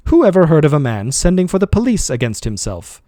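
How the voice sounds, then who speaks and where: A composed male servant talks with a deliberate lisp and maddening calm, voiced by a male reader. Each word comes out slow and measured. The sound is dry and close. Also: on the high side, no room sound, voice pushed up. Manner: slow, measured, self-assured.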